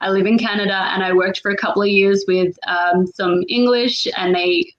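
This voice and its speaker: A woman from Australia with a softened, mixed Australian accent, hosting a casual chat in a bubbly, digressive way. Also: medium pitch, fairly even intonation, normal speed, muffled recording. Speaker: meetup host. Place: online video meetup.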